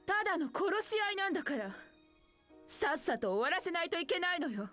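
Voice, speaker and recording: A woman's voice: very high voice, sing-song, rapid-fire, a bit bright, no echo, soft background music, full character voice. An actress playing an earnest, war-weary woman soldier in a Japanese video game drama, speaking in a lamenting way.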